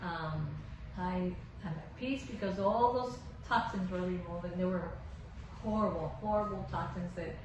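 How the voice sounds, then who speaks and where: A woman from Michigan, a patient, speaking earnestly and haltingly about her treatment. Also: fairly low pitch. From Michigan, USA. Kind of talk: patient testimonial. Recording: echoey room, far from mic, dull and noisy.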